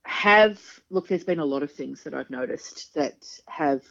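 A woman in her forties with a deep speaking voice, a singer talking frankly and with quick humour as a podcast guest. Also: gravelly. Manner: frank, chatty, quick-witted, laughs often. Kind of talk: casual interview chat. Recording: dull lo-fi podcast audio.

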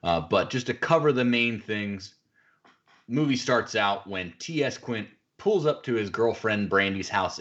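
A man's voice: fairly low pitch, a bit bright, highs cut off. A man hosting a podcast, recapping a film in casual, chatty, wordy banter. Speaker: podcast host.

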